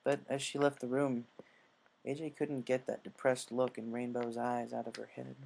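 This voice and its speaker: A man's voice: medium pitch, flat delivery, normal speed, creaky, darkish tone, a little hiss or hum, low-quality recording. A man narrating a scripted fan fiction story as its reader.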